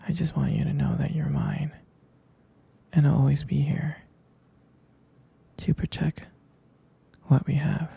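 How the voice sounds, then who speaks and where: A man playing a tender, jealous, devoted boyfriend in an intimate ASMR roleplay, speaking haltingly in a breathy, husky whisper. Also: a little high, flat delivery, mellow, dull audio, exaggerated voice. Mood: possessive, tender. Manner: hesitant, intimate, halting delivery.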